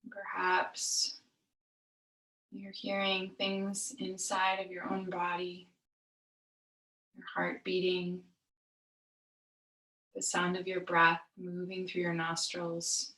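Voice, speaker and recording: A woman leading a guided meditation as a yoga nidra teacher, soothing and unhurried, with long pauses between phrases. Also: on the low side, completely flat delivery, sharp tone. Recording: roomy echo, distant mic.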